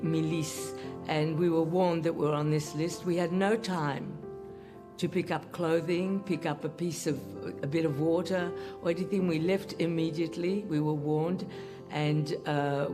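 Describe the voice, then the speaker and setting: An elderly survivor from Italy carefully recounting a wartime escape. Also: mid-range voice. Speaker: Holocaust survivor giving testimony. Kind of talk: recorded testimony interview. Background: soft guitar music.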